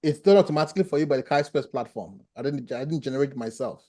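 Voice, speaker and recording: A man's voice: medium pitch, animated, fast, warm tone. A man with a Nigerian accent, a professor explaining things plainly and answering questions in an online class over a video call. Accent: Nigerian, with a slight Ghanaian tinge. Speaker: professor teaching a webinar class.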